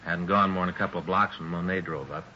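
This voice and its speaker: A man's voice: sits low, steady tone, quick pace, pushed and bright. A man playing a matter-of-fact police detective in a 1950s radio drama, giving terse, factual reports. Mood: grim, factual. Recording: dull, noisy 1950s radio recording.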